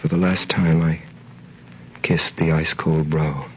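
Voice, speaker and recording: An actor playing an earnest prisoner recounting his ordeal, with grave, measured, dramatic 1930s radio delivery. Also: a bass voice, flat delivery. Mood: grave, tense. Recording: muffled, hissy 1930s radio recording.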